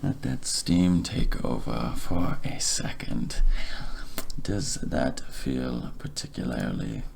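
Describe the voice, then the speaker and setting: A man speaking softly in a breathy half-whisper, gently soothing and attentive, as a barber in an ASMR roleplay. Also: a bass voice, very animated, takes it slowly. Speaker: ASMR performer playing a barber.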